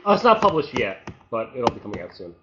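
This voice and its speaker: A man on a panel, a writer who explains his arguments quickly with many fillers and hedges. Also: medium pitch, very animated, a crowd in the background. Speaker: guest writer. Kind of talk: casual panel discussion. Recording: dull lo-fi sound.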